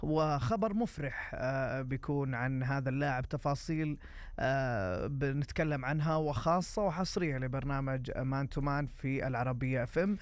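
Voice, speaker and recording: A Saudi man co-hosting live football talk and news, often pausing to search for his words. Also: mid-range voice, dry recording. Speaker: sports radio co-host.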